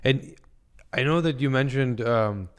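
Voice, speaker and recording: A man hosting a podcast, interviewing in a casual, conversational and measured explanatory way. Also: mid-range voice, smooth.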